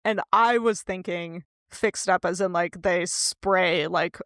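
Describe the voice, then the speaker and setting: A woman co-hosting a casual book discussion in a conversational way, quick to laugh. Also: on the low side, fairly bright tone, no echo, clean studio sound. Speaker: podcast co-host.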